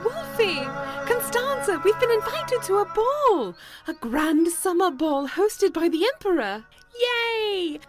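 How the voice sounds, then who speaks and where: A woman playing an excitable young woman who gushes with breathless enthusiasm. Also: very high-pitched, slow, music in the background, heavily acted. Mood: excited.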